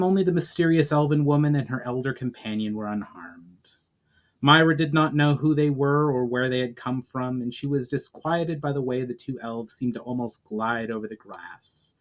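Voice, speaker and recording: A man narrating a fantasy story as its author, in a measured, formal storytelling manner. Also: mid-range voice. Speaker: author reading own book. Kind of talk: dramatic audiobook-style narration of fantasy fiction.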